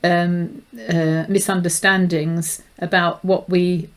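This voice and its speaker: A woman's voice: fairly low pitch, flat delivery, slow pace. A middle-aged woman, hosting a conversational interview as a behaviour profiling specialist.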